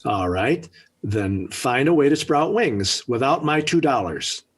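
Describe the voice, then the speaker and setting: A man's voice: mid-range voice, big pitch swings, a little slow, husky. An actor playing a stern, sardonic middle-aged father in a 1940s radio sitcom. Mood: sardonic, firm. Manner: stern, dry, put-upon. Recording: vintage 1940s radio broadcast.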